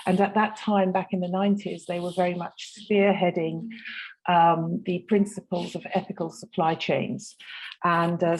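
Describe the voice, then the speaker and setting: Measured middle-aged woman, lowish voice. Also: gravelly, a little mellow, low-quality recording. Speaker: company CEO, panelist. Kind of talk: roundtable panel talk.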